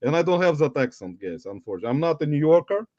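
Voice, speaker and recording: A man's voice: on the high side, big pitch swings, a little mellow, no echo, highs cut off. Russian-accented man in his forties, chatty. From Uzbekistan. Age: 40s. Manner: rambling, halting, addresses viewers as guys. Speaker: livestream host. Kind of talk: casual livestream chat.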